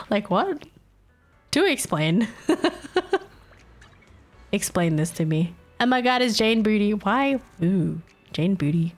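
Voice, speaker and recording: A woman's voice: mid-range voice, expressive, takes it slowly, pauses often, soft background music. A young woman with a Filipino accent chatting casually on a live stream, teasing her chat and laughing often. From Philippines.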